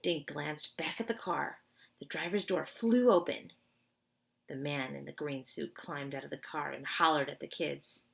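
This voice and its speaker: A woman's voice: sits low, measured pace, vocal fry, a bit bright. A woman reading a children's storybook aloud expressively, with informal asides to her listeners.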